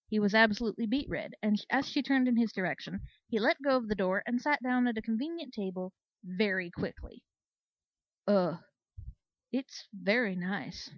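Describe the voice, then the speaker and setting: A woman narrating a story in an expressive read-aloud style. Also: mid-range voice, dry recording, muffled recording. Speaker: story reader. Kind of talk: expressive audiobook-style narration of fan fiction.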